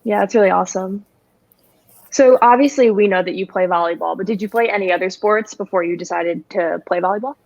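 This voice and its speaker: A woman's voice: mid-range voice, brisk, dull audio. Young woman hosting a student radio interview. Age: young adult. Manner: friendly, many "you know" fillers.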